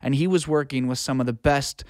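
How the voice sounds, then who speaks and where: A man's voice: mid-range voice, steady tone, a little fast, darkish tone, clear, resonant tone, no echo, clean studio sound. A man speaking informally and earnestly as a guest in a casual interview, giving career advice. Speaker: guest, music producer and audio engineer.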